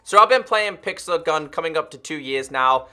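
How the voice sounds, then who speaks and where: This is a young man in his twenties making a gaming video, speaking straight to his viewers in an earnest, direct way. His voice sits a little high for a man and has a crisp, forceful edge. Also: expressive, normal speed. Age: 20s. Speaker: gaming YouTuber. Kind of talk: direct-to-camera commentary.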